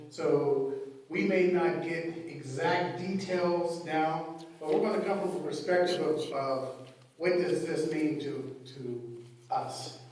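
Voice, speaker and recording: Male panel moderator, rather high voice, booming church echo, mic at a distance; loose, filler-heavy delivery. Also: darkish tone, slight background noise. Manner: conversational, loose, with frequent fillers. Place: church sanctuary.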